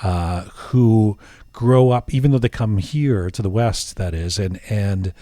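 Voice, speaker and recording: A man's voice: on the low side, measured pace, mellow, dry recording, studio quality. A man hosting an interview podcast, musing aloud with many restarts and false starts. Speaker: podcast host.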